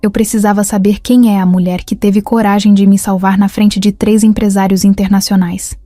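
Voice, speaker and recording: An earnest company boss, read by a woman, speaks with quiet sincerity. The voice stays even and warm-toned, with little movement, in a dry, close recording over faint music. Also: mid-range voice, moderate pace, no echo, close to an everyday voice.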